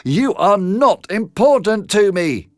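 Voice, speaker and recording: A man's voice: high voice, very animated, takes it slowly, no echo, soft background music, pitched above the performer's own voice, full character voice. A man playing an impatient, lovestruck fisherman in a bedtime story, speaking in a curt, dismissive, emphatic way. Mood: dismissive, angry.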